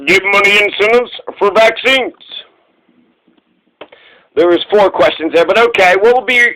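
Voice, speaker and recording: High-pitched, bright-voiced male political host. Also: low-quality recording. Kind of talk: political commentary and reading out a question and answer.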